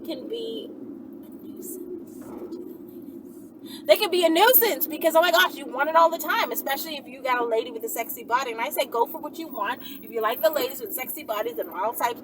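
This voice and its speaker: Woman vlogger, high bouncy voice, rambling chatty Q&A, far from the mic, loud vehicle noise behind. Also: brisk. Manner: rambling, animated, keeps asking "right?" and "okay?". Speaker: vlogger answering viewer questions. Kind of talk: casual Q&A monologue.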